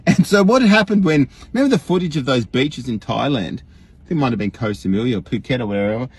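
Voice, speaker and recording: Man imitating a husky, animated Australian online guru. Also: mid-range voice, brisk. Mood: incredulous, mocking. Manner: folksy, emphatic, rhetorical questions, frequent chuckles. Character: imitation of an earnest, rambling online conspiracy guru. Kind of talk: mock livestream monologue.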